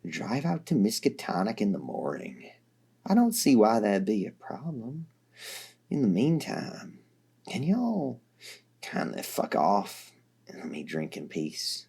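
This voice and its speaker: A man doing a deep drawling southern boss. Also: wildly swooping pitch, creaky, mellow, slightly rough, full character voice. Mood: dismissive. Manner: slow, low, drawling and dismissive.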